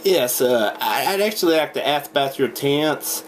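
A young man making a deadpan prank phone call, halting, stammering and rambling as he talks in a home room. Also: medium pitch. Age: young adult. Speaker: prank caller. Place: small indoor home room. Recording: noisy webcam recording.

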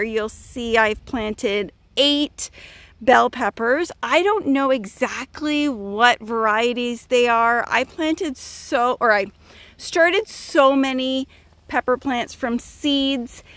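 A woman's voice: fairly high pitch, fairly bright tone. A middle-aged woman chatting casually as she walks a phone camera through her outdoor garden beds, thinking aloud and sounding puzzled at times. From Canada. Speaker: garden vlogger. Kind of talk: casual walkthrough narration. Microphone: phone camera held while walking.

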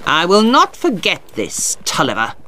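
A man's voice: sits high, wildly swooping pitch, a little slow, pushed and bright, a little hiss or hum, full character voice. A man voicing a cold, scornful, haughty lawyer in a storybook, with a contemptuous manner. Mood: menacing. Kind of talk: audiobook character dialogue.

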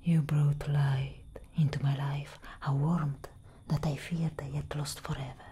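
Woman playing elder vampire sister: low, breathy whisper, very measured, grave and protective, ASMR roleplay. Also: level tone, dark tone, slight background noise. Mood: tender, affectionate. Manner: slow, intimate, deliberate. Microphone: close microphone.

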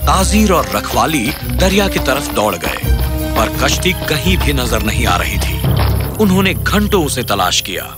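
Male narrator for a children's story: mid-pitched, very lively intonation, music bed.

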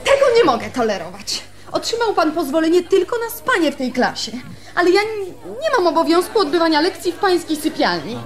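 Shrill-pitched, haughty schoolmistress. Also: female voice, very animated, brisk, crowd noise. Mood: indignant. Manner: scornful, scolding. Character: haughty, indignant schoolmistress fussing over her cat. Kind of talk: Polish radio drama acting.

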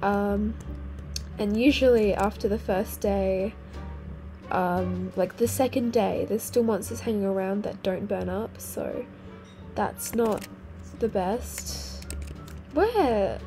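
A teenage girl with a slightly croaky, sick-sounding voice, chatting casually over her gameplay and exclaiming at things she finds. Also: mid-range voice, slow pace, mellow. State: croaky voice from a cold. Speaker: gaming video host. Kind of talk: casual game commentary. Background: faint game music.

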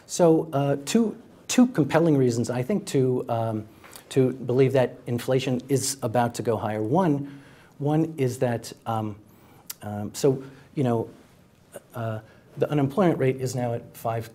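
A middle-aged man giving economic analysis as a studio guest, speaking haltingly and repeating words as he goes. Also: medium pitch, warm tone. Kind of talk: panel interview analysis. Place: TV news studio. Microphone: lapel mic.

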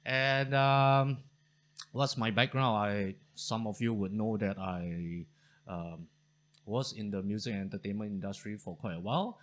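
A man's voice: lowish voice, unhurried. A man, hosting his history channel in a casual, thankful chat to camera full of fillers and restarts, recorded at home on a studio mic. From Singapore. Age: 40s. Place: home study with bookshelves.

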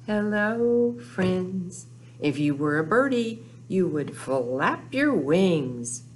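Older woman, children's storytime host, very slow pace, animated sing-song delivery, simple repeated phrases, slight background noise. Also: fairly low pitch. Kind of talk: children's storytime with rhymes, counting and songs. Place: small indoor room with whiteboard.

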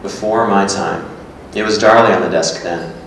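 A man's voice: low voice, moderate pace, a bit bright. A man giving a staged reading of a literary two-person script, playing a matter-of-fact, musing character. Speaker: performer reading a scripted dialogue. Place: echoey gallery room with hard walls. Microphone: stand microphone. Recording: echoey room, distant, faint noise.

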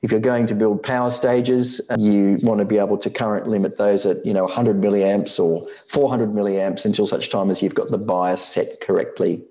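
Low, mellow middle-aged man, muffled recording. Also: fairly even intonation, moderate pace, hardly any pauses. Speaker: presenter of an electronics building tutorial. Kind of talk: explanatory slide talk.

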